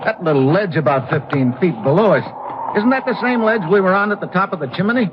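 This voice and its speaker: Wry radio adventurer, muffled recording. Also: male voice, high voice, animated, quick pace, noisy background. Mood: nervous, strained. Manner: wry, colloquial, sardonic. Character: wry, tough American male adventurer-investigator hero. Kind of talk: 1950s radio adventure acting with first-person narration.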